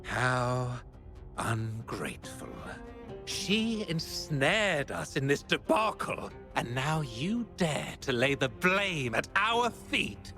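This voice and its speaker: A man's voice: medium pitch, big pitch swings, sharp tone, faint music behind, exaggerated voice. An actor playing a smug, scheming male art dealer who talks down to his accusers in court. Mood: indignant. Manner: slow, condescending, haughty. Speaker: defendant in court.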